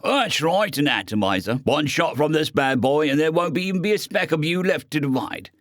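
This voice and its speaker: A man's voice: fairly high pitch, expressive, brisk, a bit bright, no room sound, clean studio sound, pitched above the performer's own voice. A man voicing a menacing, incredulous robotic alien exterminator, blunt and threatening, in an audio story.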